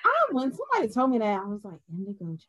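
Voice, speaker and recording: A woman's voice: fairly high pitch, huge pitch swings, racing, fairly bright tone, dry recording. Earnest woman guest in casual chat.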